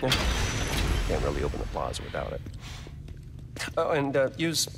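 An actor playing a smug, scheming male corporate villain in a video game, talking in a smooth, condescending, casual way. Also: deep voice, wildly swooping pitch, heavy background noise, full character voice. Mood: gleeful. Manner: casual, taunting, drawn-out pauses. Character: smug scheming male tech CEO, middle-aged. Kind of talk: video game cutscene acting. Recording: game audio with long reverb and faint ambience.

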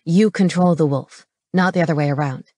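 A woman's voice: deep voice, a little fast, a little mellow, no echo, clean studio sound. A woman voicing a concerned young male lion shifter in an audiobook, firm and earnest. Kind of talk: paranormal romance audiobook character.

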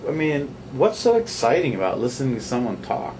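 A man's voice: mid-range voice, very animated, a little fast, a little mellow, a little hiss or hum, rough recording. A man interviewing a guest, mostly short encouraging interjections and brief questions. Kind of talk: casual interview.

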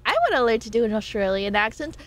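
A young American woman chatting casually with her stream viewers, animated, reading chat aloud and laughing often. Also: on the high side, fast talker. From United States.

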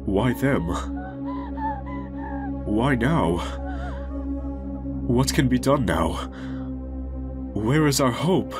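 A man playing a grief-stricken, gentle goat-monster king who can turn resolute, in a dramatic comic dub. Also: medium pitch, lively intonation, extremely slow, pauses often, music in the background. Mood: grieving.

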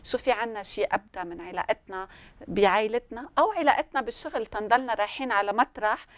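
A woman's voice: medium pitch, fast, dry recording. A middle-aged woman with a Lebanese accent explaining things conversationally on a studio panel, slipping in French and English words. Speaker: studio guest on parenting and communication. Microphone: lapel mic.

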